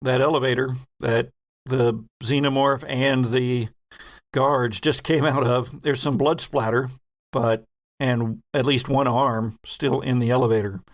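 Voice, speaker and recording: Gravelly man narrating horror. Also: mid-range voice, steady tone, measured pace. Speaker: game master and host. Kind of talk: narrating a tabletop horror game.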